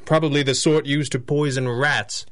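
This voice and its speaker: A man plays an unflappable masked crime-fighter from a 1930s radio serial. His voice sits at an ordinary male pitch. He reasons crisply and commands like a boss who is used to being obeyed. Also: steady tone, normal speed, a bit bright, lightly acted. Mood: dry, pondering. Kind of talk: 1930s-set radio superhero drama acting.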